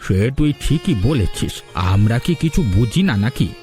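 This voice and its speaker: A man's voice: medium pitch, big pitch swings, a little slow, dark tone, faint music behind. A man playing a gossiping but sympathetic villager in a cartoon story, talking in a colloquial, confiding way. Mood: disapproving. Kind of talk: Bengali cartoon story voice acting.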